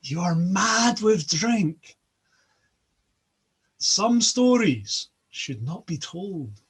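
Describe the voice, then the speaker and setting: A stern, dignified queen goddess, as a male storyteller puts her on. The reproving voice is husky and slow, with lively rises in pitch. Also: exaggerated voice.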